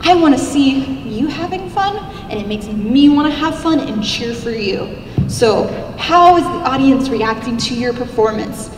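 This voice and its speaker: A young woman with a squeaky little voice giving an upbeat, chatty convention panel talk, speaking into a handheld mic in a large hall. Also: expressive, unhurried, background noise. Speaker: cosplay contest judge giving a convention panel. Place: large convention hall, seated at a stage table. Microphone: handheld mic, filmed by a far camera. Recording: echoey hall, recorded from far off.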